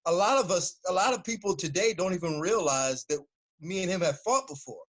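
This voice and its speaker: Guy in his 40s chatting easy, laughs a lot, voice a bit high. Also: a little fast. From United States. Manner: relaxed storyteller, laughs often. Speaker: interview guest, former fighter. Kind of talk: casual interview conversation.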